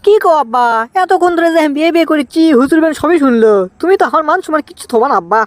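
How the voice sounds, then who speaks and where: A man's voice: extremely high pitch, animated, rapid-fire, dry recording. A man voicing an impatient, whiny young son desperate to marry, in comic cartoon dialogue with a Bogura accent from northern Bangladesh. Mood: demanding. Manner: whiny, pleading, exaggerated.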